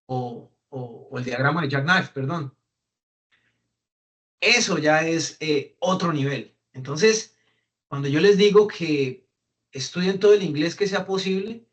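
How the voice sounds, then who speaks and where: A man's voice: on the high side, a bit bright. A young adult man from Colombia with a Colombian accent, speaking conversationally to an audience with many fillers and restarts. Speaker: guest speaker on migration. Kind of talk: casual talk to an audience.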